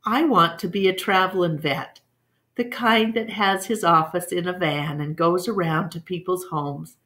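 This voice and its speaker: A woman's voice: on the low side, measured pace, fairly bright tone. Storybook farm boy, earnest and eager, plain-spoken, unrushed played by a woman. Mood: hopeful. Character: earnest, hopeful country boy who loves animals.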